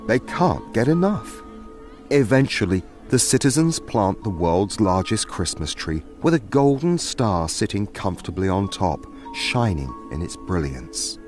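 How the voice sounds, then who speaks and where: A man's voice: lowish voice, sing-song, measured pace, a little mellow, faint music behind. A man narrating a scripted fantasy story in a dramatic storytelling style.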